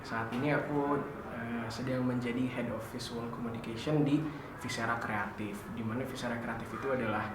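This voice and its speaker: A man's voice: medium pitch, fairly even intonation, brisk. Hesitant young man in echoey room. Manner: hesitant, many fillers. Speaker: interviewee, student. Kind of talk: casual interview answers. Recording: echoey room, noisy background.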